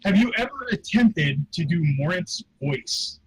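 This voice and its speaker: A man's voice: high voice, animated, unhurried, a little hiss or hum, low-quality recording. A man co-hosting a podcast, easygoing, putting in facts and questions with small hesitations. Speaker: podcast co-host. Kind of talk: casual podcast chat.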